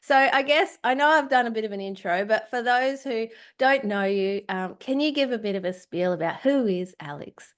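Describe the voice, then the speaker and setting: A woman hosts a podcast with a bright, fairly high voice. She introduces her guest and sets up questions in an effusive, upbeat way, giving generous praise as she goes. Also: animated, moderate pace, hardly any pauses. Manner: enthusiastic, effusive. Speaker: podcast host. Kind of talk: podcast interview hosting.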